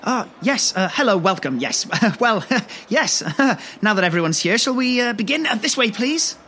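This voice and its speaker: A woman's voice: a little high, very animated, moderate pace, a bit bright, a little hiss or hum. A woman acting an awkward, officious museum tour guide in a comedy sketch, polite and hesitant.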